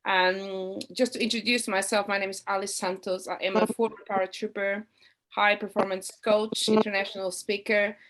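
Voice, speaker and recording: A woman's voice: mid-range voice, a bit bright, rough recording. Friendly woman coach telling her story. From Portugal, Cape Verdean background. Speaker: high performance coach, operations director. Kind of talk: self-introduction on a video call. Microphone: video call.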